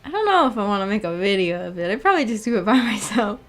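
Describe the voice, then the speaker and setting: A woman's voice: mid-range voice, sing-song, fast, a bit bright. A woman hosting a casual livestream discussion, chatting in a rambling, giggly way. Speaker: streamer hosting a book club discussion.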